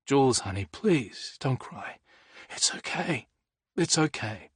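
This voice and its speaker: A man voicing an emotional young man who speaks softly and pleadingly. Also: mid-range voice, lively intonation, slow, husky, no echo, voice pushed up, exaggerated voice. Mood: tender. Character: tender young man, emotional, close to tears.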